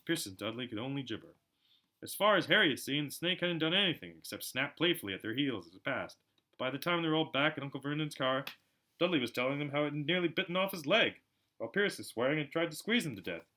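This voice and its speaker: A man reading a storybook aloud as an amateur narrator, in an expressive style. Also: on the high side, quick pace. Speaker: amateur audiobook reader.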